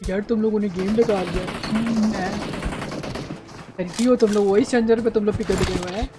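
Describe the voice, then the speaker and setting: A woman's voice: mid-range voice, steady tone, moderate pace, very noisy. A woman game streamer in casual, teasing voice chat with her squad. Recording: game stream under background music. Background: game music.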